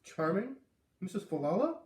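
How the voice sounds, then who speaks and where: Man voicing an indignant young girl, raised pitch. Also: sing-song, moderate pace, darkish tone, roomy sound, distant mic. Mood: incredulous. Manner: insistent, protesting. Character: earnest, indignant young girl defending herself.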